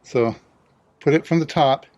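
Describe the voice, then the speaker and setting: A man's voice: a little high, a little slow, darkish tone, dull audio. A man casually narrating a hands-on DIY installation, explaining it plainly step by step.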